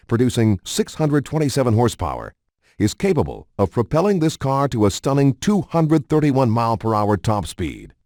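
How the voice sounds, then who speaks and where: A man reading a scripted documentary narration in a measured, polished announcer delivery. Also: lowish voice, darkish tone, no room sound, studio quality.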